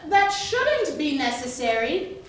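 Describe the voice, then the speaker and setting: A woman playing a brisk, officious small-town commissioner in a theatrical, projected stage style. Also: sits high, very animated, heavily acted. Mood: brisk, polite. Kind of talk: musical theatre stage acting. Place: staged reading before live audience. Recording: echoey hall, distant mic, faint noise.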